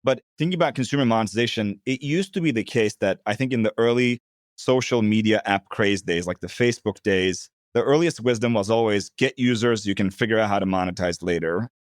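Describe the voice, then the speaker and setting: A man in his thirties from Turkey, a product executive calmly explaining his work in an interview. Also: lowish voice, dry recording, clean studio sound. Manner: measured, explanatory. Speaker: head of product, guest. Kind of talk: interview answers.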